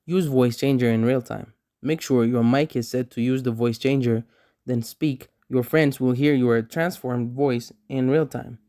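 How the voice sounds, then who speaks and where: A man's voice: medium pitch, warm tone. A man hosting a how-to tutorial, reading scripted step-by-step narration. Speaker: tutorial host.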